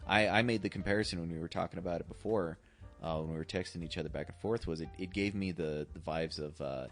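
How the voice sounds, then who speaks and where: A man co-hosting a casual film discussion, explaining in a halting way and often correcting himself. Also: low-pitched, soft background music. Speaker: podcast co-host.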